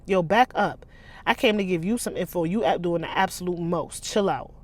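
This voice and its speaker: A woman's voice: fairly low pitch, moderate pace, a little mellow, close to an everyday voice. A woman voicing a mouthy twenty-year-old male street hustler in a novel, with an American accent and brash, mocking slang. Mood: defiant.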